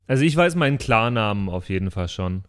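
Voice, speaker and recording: A man's voice: lowish voice, animated, fairly quick, clear, resonant tone. A man chatting casually as a player on a tabletop role-playing show. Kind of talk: casual group chat.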